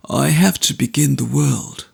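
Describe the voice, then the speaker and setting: A man voicing a gravely ill young man who speaks slowly, faintly and earnestly. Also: mid-range voice, a little mellow, full character voice. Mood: weak, tender.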